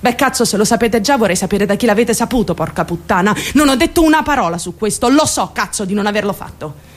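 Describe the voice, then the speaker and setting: A woman's voice: mid-range voice, animated, fast, close to an everyday voice. A woman acting a theatrical radio monologue as a troubled young woman, defensive and candid, rambling and addressing her listeners directly. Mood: angry, defensive. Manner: confiding, addresses listeners directly with rhetorical questions. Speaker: actor.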